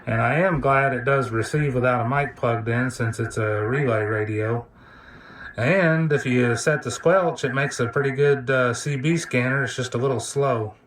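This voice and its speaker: A man's voice: medium pitch, moderate pace, fairly bright tone. A man giving an unscripted, casual hands-on gadget demo in an easygoing, technical way. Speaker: hobbyist video presenter. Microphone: phone camera mic. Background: radio chatter and hiss.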